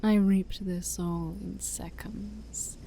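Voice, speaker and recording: Ancient forest demon princess, played by a woman: haughty and sly, mellow near-whisper, unhurried ASMR roleplay delivery. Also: mid-range voice, fairly even intonation, a little hiss or hum. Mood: boastful. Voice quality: breathy, often near-whispered. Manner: slow, teasing, haughty, theatrical. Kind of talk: soft-spoken ASMR roleplay.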